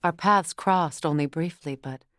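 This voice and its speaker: A woman's voice: low voice, measured pace, fairly bright tone, dry recording. A proud, middle-aged Norse goddess from a video game. She speaks as a battle companion with formal, grave diction. The recording is close and dry, with very little room sound. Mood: grave, measured.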